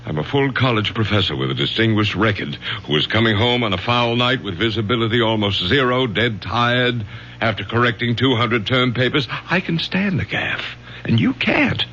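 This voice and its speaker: A man's voice: medium pitch, animated, moderate pace, pushed and bright. An actor playing a protective middle-aged father, a college professor, with an American accent and a firm, urgent manner in radio drama style. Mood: firm, commanding. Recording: dull lo-fi old radio broadcast, faint hum.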